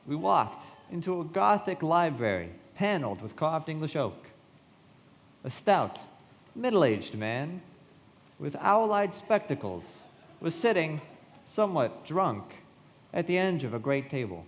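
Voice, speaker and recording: Young male narrator, live radio drama; reflective, descriptive, animated delivery in a reverberant hall. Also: a little high, slight background noise. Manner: measured, descriptive storytelling.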